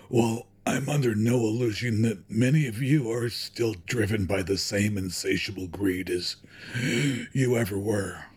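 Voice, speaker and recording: Male actor for dying elderly patriarch in audio drama. Low, badly husky voice, grave and dignified delivery. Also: exaggerated voice. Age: older. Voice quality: husky, breathy, with a cough. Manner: grave, formal, deliberate speech. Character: dying old company chairman and father, solemn, remorseful.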